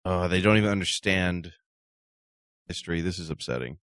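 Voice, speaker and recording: A man's voice: sits low, expressive, normal speed, fairly bright tone, no room sound, studio quality. A man co-hosting a talk show in casual chat, with an incredulous, sweary manner.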